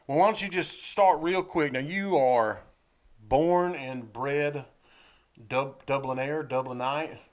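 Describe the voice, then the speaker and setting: He is a host with a middle-pitched voice who keeps the talk loose and friendly. He teases his guest a little and asks his questions casually. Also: slow. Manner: easygoing, teasing. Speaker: podcast host. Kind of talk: casual chat.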